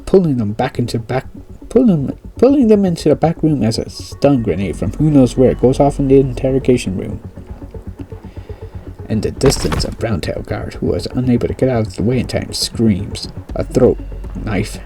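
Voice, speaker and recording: A man's voice: mid-range voice, moderate pace, low-quality recording. Casual male gamer reading a story. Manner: relaxed, reads narration with slips and restarts. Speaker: game streamer reading the story aloud. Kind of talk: casual game playthrough and story narration. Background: game music.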